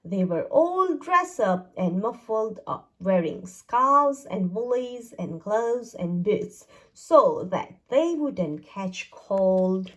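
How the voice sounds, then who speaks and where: Female storybook reader for young children: deliberate, highly animated intonation, slow delivery. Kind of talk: children's read-aloud narration.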